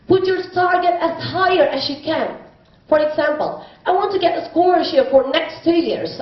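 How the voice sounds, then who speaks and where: High-voiced Indonesian teen girl, roomy hall. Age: teens. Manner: emphatic and declamatory in the speech, hesitant when answering. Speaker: speech contest contestant. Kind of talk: prepared speech, then answering a judge. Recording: distant roomy hall mic, dull sound.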